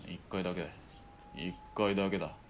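A man playing a wry, casual ex-soldier turned hired killer in a radio drama, with rough, bantering speech. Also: deep voice, fairly even intonation, normal speed. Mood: bantering, defensive.

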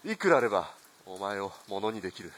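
A man's voice: on the low side, big pitch swings, a little fast, fairly bright tone. Man acting an arrogant, blunt army officer. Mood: smug, commanding. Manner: haughty, commanding, blunt. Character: arrogant, corrupt army lieutenant colonel, callous. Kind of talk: radio drama acting.

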